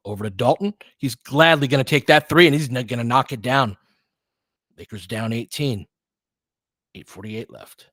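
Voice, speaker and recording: A man's voice: medium pitch, normal speed, a bit bright, no room sound, studio quality. A man giving informal live basketball play-by-play with bits of analysis, in clipped, slangy, conversational phrases as a partisan fan. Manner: partisan fan of one team.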